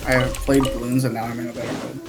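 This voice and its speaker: A man's voice: mid-range voice, flat delivery, fast talker, gravelly, over loud music. A man chatting casually over a game, slangy and often swearing. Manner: profane, slangy. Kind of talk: casual gaming chat.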